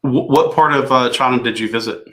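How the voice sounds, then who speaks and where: Guy hosting a livestream q&a on a video call, easygoing, lots of ums, normal pitch. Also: brisk, faint music behind, low-quality recording. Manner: relaxed, thinking aloud with fillers. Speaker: host. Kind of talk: casual livestream Q&A coaching.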